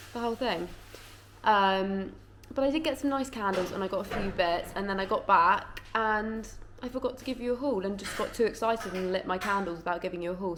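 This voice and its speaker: A woman's voice: on the low side, roomy sound, background noise. A woman chatting casually to camera at home, rambling and a bit tired and stuffy. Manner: chatty and rambling, lots of "like" and "yeah". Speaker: vlogger. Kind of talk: casual chatty vlog talk. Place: at home in her flat.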